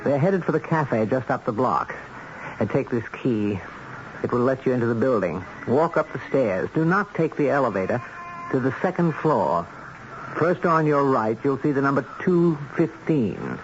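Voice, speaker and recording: A suave, coldly businesslike arranger of killings in a radio drama. He is courteous and measured, yet quietly in command. The sound is a dull old broadcast with a noisy background. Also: medium pitch, sing-song. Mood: calmly commanding. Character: smooth, polite, coldly businesslike man arranging contract killings.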